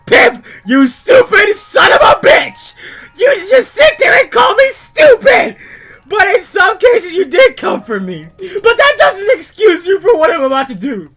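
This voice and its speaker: A man's voice: very high voice, very animated, fairly bright tone, raised voice, faint music behind, voice pushed up, exaggerated voice. A man voicing a despairing cartoon ferret who bitterly screams and sobs at his friends, dragging out his words. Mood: enraged, sobbing. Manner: screaming, sobbing, drawn-out words. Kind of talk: melodramatic reading of a cartoon suicide note.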